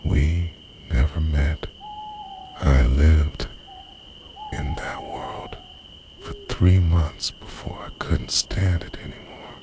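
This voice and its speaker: A man's voice: extremely low pitch, slow, noisy background, exaggerated voice. A man playing an obsessively devoted time-travelling boyfriend in an intimate, earnest, half-whispered ASMR roleplay. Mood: grave, confessional. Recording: night crickets and owl behind voice. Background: night insects, crickets and hooting owl.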